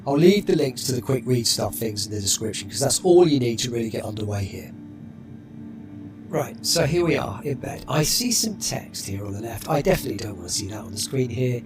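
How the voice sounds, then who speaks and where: Brief: male tutorial narrator, lively pitch, relaxed, faint music under. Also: brisk.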